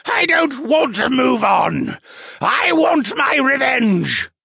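A man voicing a vengeful wraith in an audiobook, with a breathy, screeching, wailing voice and drawn-out taunts. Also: very high-pitched, very animated, pushed and bright, pitched above the performer's own voice, full character voice. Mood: furious defiance.

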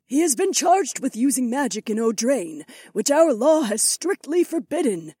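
Fantasy audiobook queen: stern, haughty, commanding, husky edge, very dry sound. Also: high-pitched, big pitch swings, moderate pace, mellow, dry recording, heavily acted. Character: stern, imperious demi-human queen addressing her subjects. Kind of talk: dramatised audiobook acting.

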